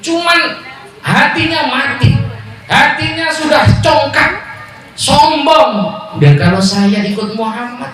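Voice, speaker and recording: A man with a Javanese accent preaching a sermon at a village religious gathering, mixing jokes with loud calls and low asides. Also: slow, long pauses, faint music behind. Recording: echoey PA sound from far off.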